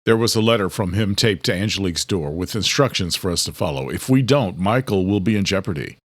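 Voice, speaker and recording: A man's voice: low-pitched, lively intonation, a little fast, no room sound, clean studio sound. A man voicing a protective, resolute brother in a thriller audiobook, giving terse, firm orders. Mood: tense. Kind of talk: horror thriller audiobook character.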